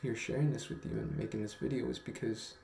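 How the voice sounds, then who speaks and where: A young man talking reflectively to camera in a slow, halting, earnest way with long pauses. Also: mid-range voice, steady tone, creaky, warm tone, a little hiss or hum. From Guam. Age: young adult. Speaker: storytelling vlogger. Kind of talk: reflective personal talk to camera. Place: small room at home, indoors. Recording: roomy echo, faint music.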